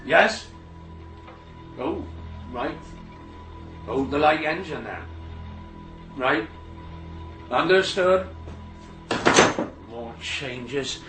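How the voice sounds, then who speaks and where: A roomy radio drama recording carries a middle-aged railway signalman, a stern, harried father. His voice sits fairly high for a man. He speaks brusquely, with worn patience, in a naturalistic way. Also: animated, very slow, pauses often, over music. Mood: businesslike, terse. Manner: brusque, exasperated.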